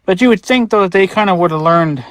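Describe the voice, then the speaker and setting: A man with a somewhat high voice talks through an unscripted review. He is casual and plain-spoken, with offhand cursing, and sounds like he is recording inside a vehicle. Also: fast, no room sound. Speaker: vlogger reviewing radio gear.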